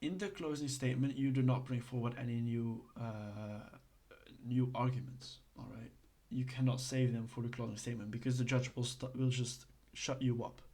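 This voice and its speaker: Guy explaining stuff in a lesson, lots of uhs and restarts, pretty monotone. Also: medium pitch, normal speed. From Belgium. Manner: hesitant, many fillers and restarts. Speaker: instructor.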